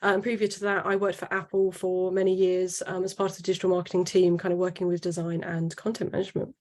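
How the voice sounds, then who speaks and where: A woman's voice: on the low side, completely flat delivery, quick pace, a little mellow. A woman in a design leadership role introducing herself fluently and polishedly on a panel. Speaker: head of product design, panelist.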